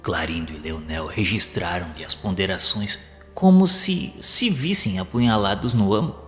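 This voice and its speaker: A low-voiced man narrates with a Brazilian accent. His delivery is formal and literary, read from a script, with a long reverberant tail and soft music behind it. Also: normal speed.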